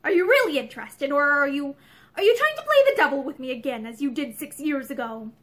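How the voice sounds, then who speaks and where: Casting: quietly bitter, self-controlled gentleman for a storybook romance, high-toned, played by a female reader. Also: sing-song, moderate pace. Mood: hard, accusing. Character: reserved, guarded male former sweetheart, quietly bitter.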